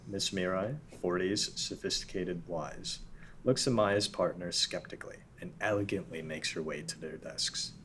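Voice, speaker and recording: A man's voice: deep voice, moderate pace, fairly bright tone, a little hiss or hum, rough recording. A man narrating a script at a table read, reading the stage directions plainly.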